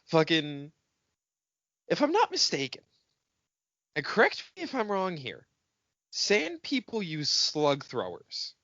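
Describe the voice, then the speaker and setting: A man's voice: fairly high pitch, takes it slowly, pauses often, no echo, dull audio. A man co-hosting a fan podcast, bantering casually and picking at details with some swearing. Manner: nitpicky, sweary, animated. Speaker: podcast co-host.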